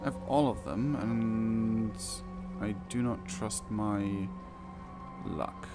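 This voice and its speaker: A man hosts a casual game playthrough at an unhurried pace, with game music clearly behind him. His voice sits at an ordinary pitch, and he drops dry, wry asides between stretches of reading. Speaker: gaming video host. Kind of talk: casual game playthrough commentary, reading quest text aloud. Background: video game music.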